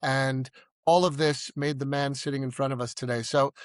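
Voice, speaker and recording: A man's voice: medium pitch, steady tone, normal speed, no room sound, clean studio sound. A man hosting a podcast, introducing his guest and asking questions with an upbeat, emphatic delivery. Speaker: podcast host.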